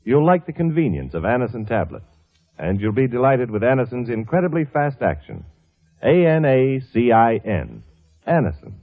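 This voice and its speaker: A man's voice: medium pitch, big pitch swings, normal speed. A man reading a vintage radio advertisement as announcer, emphatic and persuasive. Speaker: commercial announcer. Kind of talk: 1940s-50s radio advertisement read. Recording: tinny old radio broadcast.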